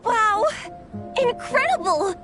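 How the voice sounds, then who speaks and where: A wide-eyed young girl from a video game, a sincere comic-book fan. She speaks slowly in a high, youthful voice with an earnest, starstruck temper. Music is clearly audible behind her. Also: lively intonation, heavily acted. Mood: awed, excited.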